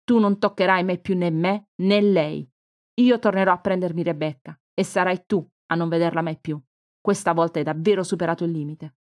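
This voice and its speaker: A woman's voice: on the low side, steady tone, quick pace, no room sound, lightly acted. A woman voicing a fierce young woman in her twenties defying her mother, in a read-aloud story. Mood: menacing. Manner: fierce, loud.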